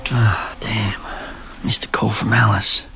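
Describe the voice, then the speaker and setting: A man's voice: on the low side, little pitch movement, slow, vocal fry, sharp tone, slight background noise, heavily acted. A man playing a weary, frustrated Australian adult son, speaking in a casual, colloquial way. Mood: tired, reluctant.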